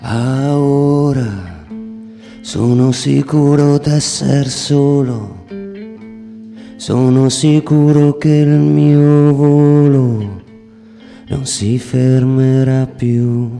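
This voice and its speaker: A man playing a defiant fallen protester in a slow, half-sung theatre recitation over acoustic guitar. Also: medium pitch, gravelly. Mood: exalted, intense. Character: defiant fallen young protester, exultant while dying. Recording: long hall reverb.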